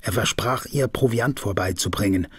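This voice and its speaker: An actor playing a probing police detective in a crime radio drama, intense, brooding and terse. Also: male voice, on the low side, moderate pace, husky, dry recording. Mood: grim, accusing. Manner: terse, interrogating.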